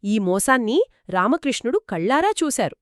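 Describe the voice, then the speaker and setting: A woman narrating a folk tale in a lively, expressive storytelling manner, trading lines with a co-host. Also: fairly high pitch, moderate pace, no echo, clean studio sound. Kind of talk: scripted two-voice story narration.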